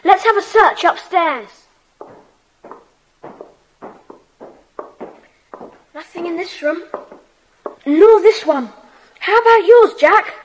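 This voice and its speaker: Schoolboy club leader, very high voice, animated, decisive, children's adventure play. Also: female voice, unhurried, background noise. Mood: urgent, hushed. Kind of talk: children's audiobook dramatisation.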